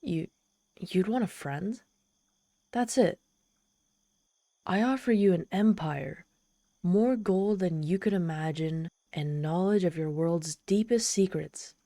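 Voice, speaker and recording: Casting: woman to play haughty trapped demoness for audio roleplay; lowish, dark mellow voice, tsundere pride over desperation. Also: takes it slowly, pauses often, no room sound. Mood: haughty, bargaining. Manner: haughty, tsundere, speaking directly to the listener. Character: proud, desperate, socially awkward trapped female demon. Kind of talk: scripted ASMR audio roleplay.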